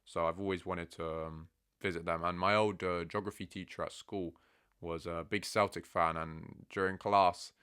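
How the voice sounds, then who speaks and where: A man in his early twenties from England, answering fan questions in a relaxed, chatty way and often saying "bro". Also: deep voice, normal speed, clear, resonant tone. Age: 20s. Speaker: football content creator. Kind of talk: casual Q&A chat.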